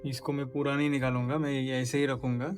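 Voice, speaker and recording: A man's voice: medium pitch, level tone, fast, over music. A man vlogger casually explaining a hands-on installation step by step, giving practical tips as he works. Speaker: motorcycle vlogger.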